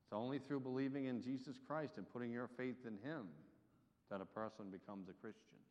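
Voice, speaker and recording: A man preaching a sermon, with emphatic delivery that swells and drops in loudness. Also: mid-range voice, fairly quick. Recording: dull reverberant hall recording.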